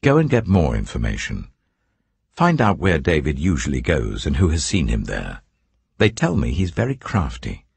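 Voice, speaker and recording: Man playing a gravelly, suspicious, commanding king. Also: rumbling low, wildly swooping pitch, normal speed, no echo, heavily acted. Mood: calculating.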